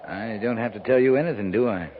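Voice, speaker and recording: A man's voice: on the low side, fast, lightly acted. An American man playing a wary, terse frontier cavalry captain in a 1950s radio drama. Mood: wary, probing. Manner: terse, guarded. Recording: tinny, noisy 1950s radio recording.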